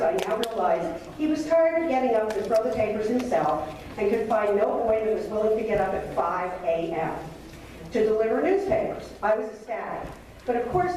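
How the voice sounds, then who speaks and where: An older woman from Ohio, with a voice pitched a little high, reads a childhood story aloud in a nostalgic way. She sounds far from the mic in a very echoey hall, with faint hiss behind her. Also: darkish tone. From Columbus, Ohio. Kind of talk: reading a personal memoir story aloud. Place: meeting hall.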